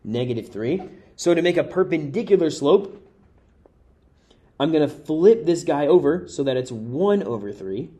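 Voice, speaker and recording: A man's voice: a little high, expressive, moderate pace, warm tone. A man teaching math in a casual, chatty way, walking through worked examples while his voice is wearing out. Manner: casual, chatty, addresses viewers as guys. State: losing his voice. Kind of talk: worked-example exam review.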